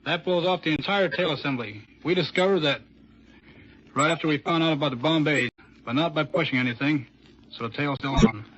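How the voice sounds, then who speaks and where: A young American man, a military test pilot, answering a 1940s radio interviewer in a deliberate, deadpan way. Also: on the high side, breathy edge. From Chicago, United States. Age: young adult. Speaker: Air Force test pilot, captain. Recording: muffled, noisy 1940s radio broadcast.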